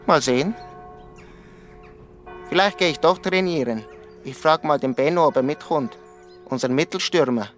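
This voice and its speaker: Male actor as young footballer, slightly high voice, earnest, plain-spoken, radio drama dialogue, soft music underneath. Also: normal speed, pauses often, close to an everyday voice. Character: earnest young village footballer sharing local rumours.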